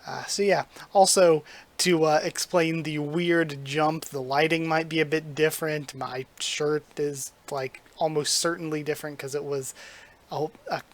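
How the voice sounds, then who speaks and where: A man's voice: on the high side, fairly even intonation, measured pace. A young man hosting a casual reaction vlog, rambling and chatty, his voice a little strained by a sore throat. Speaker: manga reaction host. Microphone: recorded on camera.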